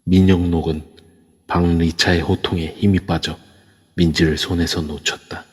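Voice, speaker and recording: A man narrating an audio drama in an even storytelling manner. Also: deep voice, moderate pace, breathy edge. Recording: echoey long reverb.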